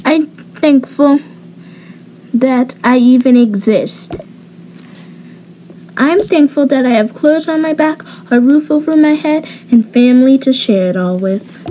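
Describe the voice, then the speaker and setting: Slow, high-voiced female roleplay actor. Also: lively intonation, dark tone, a little hiss or hum. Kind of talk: homemade cartoon roleplay acting.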